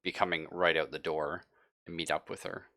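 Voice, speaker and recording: A man's voice: very deep voice, level tone, moderate pace, sharp tone, no echo, studio quality. A man speaking as game master, improvising narration of a tabletop game in an informal, explanatory way.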